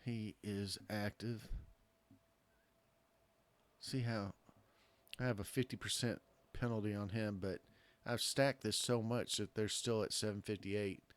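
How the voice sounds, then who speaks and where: Hoarse man thinking aloud over gameplay. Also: fairly low pitch, fairly even intonation, measured pace. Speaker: gameplay commentator. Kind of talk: casual let's-play commentary.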